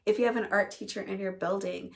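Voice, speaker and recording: Hoarse, quiet woman teacher vlogging. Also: medium pitch, fairly even intonation, fast talker. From Northern Indiana, US. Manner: soft, quiet delivery. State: hoarse from a cold, lost voice. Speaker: elementary music teacher. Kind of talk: teacher vlog, talking to camera.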